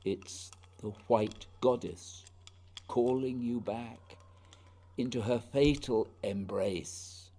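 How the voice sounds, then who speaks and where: An actor playing an earnest, haunted polar explorer in a radio play, speaking lyrically and deliberately in a husky voice. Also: male voice, mid-range voice, mellow. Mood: rapt, lyrical. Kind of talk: poetic radio drama acting.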